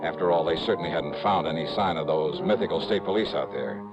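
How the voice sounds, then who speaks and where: A man's voice: a bass voice, fast talker, over loud music. An actor playing a cool, hard-boiled male investigator narrating his case in clipped, wry 1950s radio noir style. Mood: tense, wry. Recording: dull vintage radio recording.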